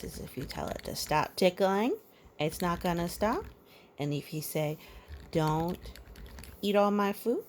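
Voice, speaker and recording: A woman with a slightly low voice reads a picture book aloud to small children. Her delivery is very slow and sing-song, with playful asides. Gravelly creak runs through her voice. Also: darkish tone, slight background noise. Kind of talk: children's storybook read-aloud with asides and counting.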